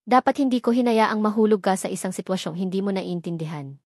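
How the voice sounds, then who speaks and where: A woman's voice: medium pitch, brisk, darkish tone, dry recording, clean studio sound, close to an everyday voice. A woman voicing a remorseful, polite young billionaire man in a dramatic story, solemn and pleading.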